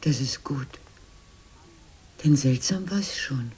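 A wistful, anxious sister from a fairy tale, grown up and looking back. Her voice is very husky and mellow, often sinking to a breathy whisper, dreamy yet insistent in radio-drama style. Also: extremely low pitch, lively intonation, slow pace, heavily acted. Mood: plaintive. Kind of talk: German radio drama acting.

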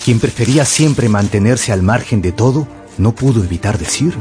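A man's voice: lowish voice, lively intonation, normal speed. A man narrating an adventure novel as dramatic scripted storytelling for radio. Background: music.

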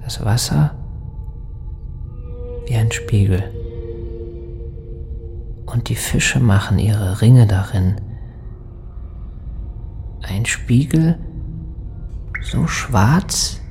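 An actor playing a lost soul in a poetic radio drama, halting and brooding, with a breathy, half-whispered voice. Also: male voice, on the low side, full character voice. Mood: dark, dreamy. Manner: slow, halting, hushed, brooding. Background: music.

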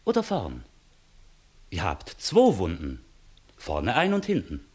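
A shrewd, very expressive court inspector. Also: male voice, sits low, slightly rough, heavily acted. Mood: probing. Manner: measured, probing, commanding. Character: shrewd, authoritative visiting court inspector, a gentleman. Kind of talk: 1960s German radio play acting, classical verse comedy.

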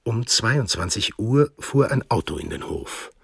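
A man's voice: fairly low pitch, lively intonation, a little slow, gravelly, a bit bright, breathy edge, no room sound, studio quality. A man reading scripted literary narration as the narrator of a radio play.

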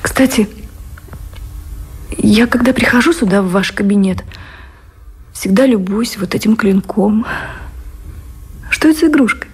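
An actress playing a tender, devoted woman in love in a radio drama, speaking in a hushed, gentle way. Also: mid-range voice, a little slow, pauses often, breathy edge. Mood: tender, curious. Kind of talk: intimate radio drama acting.

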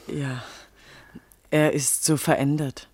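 A woman's voice: sits low, flat delivery, slow pace, darkish tone, breathy edge, dry recording, exaggerated voice. An actress in a German radio play playing a credulous, jealous young village woman with an anxious, gossipy manner.

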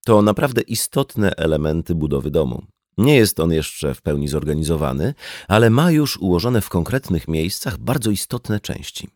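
Low-voiced man reading an audiobook. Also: sing-song, moderate pace, a little mellow, no room sound, studio quality. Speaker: audiobook reader. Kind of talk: scripted audiobook narration in a conversational format.

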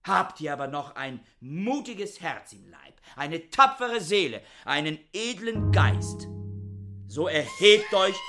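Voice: mid-range voice, very animated, slow pace, a bit bright, faint music behind, exaggerated voice. A performer in a German radio play voicing the solemn echo of a fanatical scholar's thoughts in incantatory, theatrical declamation. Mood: exalted, martial.

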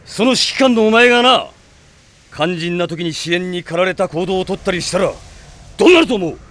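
An actor playing a blunt, gruff male mercenary with a rough, confrontational way of talking in a radio drama. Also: high voice, lively intonation, a little fast, fairly bright tone. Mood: stern.

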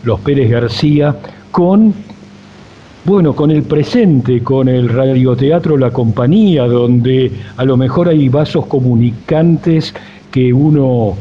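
A man's voice: medium pitch, slow. A man interviewing on radio, posing wordy, reflective questions. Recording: slightly dull radio sound, faint noise.

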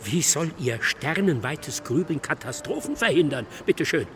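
An actor in a radio play playing an impatient, self-important debating partner who is sarcastic and needling. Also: male voice, a little high, very animated, moderate pace, fairly bright tone, faint music behind. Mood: impatient sarcasm. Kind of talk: German radio play acting.

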